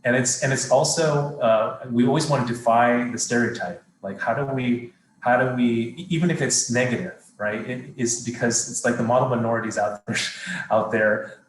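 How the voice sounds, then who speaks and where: A man's voice: mid-range voice, normal speed, few pauses, a little hiss or hum. A middle-aged man, a TV studio executive, answering interview questions thoughtfully, with many fillers and restarts. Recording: roomy echo.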